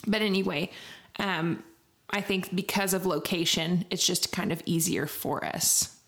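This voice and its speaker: A woman's voice: fairly low pitch, steady tone, unhurried, fairly bright tone. A young woman in casual chat, talking in a teasing, chatty way and laughing as she talks.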